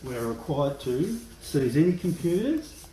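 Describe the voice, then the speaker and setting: A man's voice: fairly high pitch, big pitch swings, a little slow, warm tone. An Australian man speaking as a police officer, firm and insistent, giving procedural cautions. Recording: noisy, echoey handheld footage.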